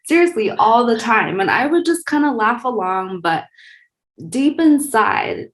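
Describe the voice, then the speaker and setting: A young woman from Taiwan, a guest in an interview, telling her story in a lively, casual way with plenty of "like" and "you know". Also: fairly high pitch, measured pace. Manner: lively storyteller, many likes and you knows.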